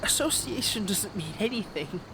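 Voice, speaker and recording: Man playing villainous ex-lover, fairly high male voice, flirty, manipulative, coaxing, faint menace, drawn-out phrasing, romantic ASMR drama, noisy background. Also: animated. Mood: flirtatious, persuasive. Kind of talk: romantic ASMR audio roleplay.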